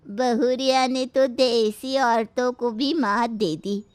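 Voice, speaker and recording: A woman's voice: on the high side, steady tone, slow pace, smooth, no echo. Lady doing nosy neighbour auntie, pointed and chatty, cartoon story, dry sound. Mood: impressed. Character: gossipy, forthright neighbourhood woman. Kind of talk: dramatised moral story for a cartoon.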